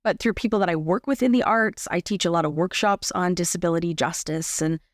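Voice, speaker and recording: A woman's voice: lowish voice, a little fast, no room sound. Woman chatting informally, dry sound. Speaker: podcast guest, arts advocate and workshop teacher. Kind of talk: casual interview chat.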